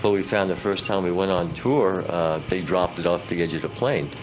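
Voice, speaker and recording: A man's voice: low-pitched, fairly even intonation, normal speed, music in the background. A middle-aged man telling stories casually as a musician guest in a radio interview. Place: radio studio. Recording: noisy old radio aircheck.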